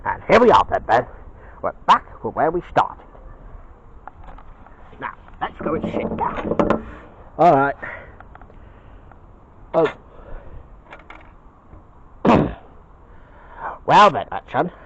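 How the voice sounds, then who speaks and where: A man's voice: fairly high pitch, sing-song, very slow, creaky, long pauses, fairly bright tone, husky, exaggerated voice. A slightly muffled home recording of a man playing a cartoon dad bear. He is patient and encouraging, murmuring along with hums and hesitations, his intonation moving freely. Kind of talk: homemade cartoon dialogue.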